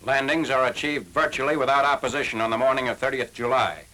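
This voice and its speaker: A formal American man narrates a 1940s military newsreel. His voice is mid-pitched and his delivery is clipped and matter-of-fact. The old film soundtrack carries clear background noise. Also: steady tone, fast.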